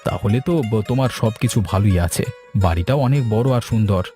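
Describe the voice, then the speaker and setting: A man's voice: lowish voice, fast talker, mellow, natural voice. A man playing a plain-spoken village man in scripted cartoon dialogue. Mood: conversational. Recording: music behind the voice. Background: background music.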